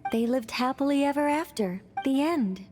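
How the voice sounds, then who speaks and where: A woman playing a composed, gently teasing young female storyteller, narrating dramatically and articulately in a game cutscene. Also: high-pitched, animated, moderate pace, very noisy. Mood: wry.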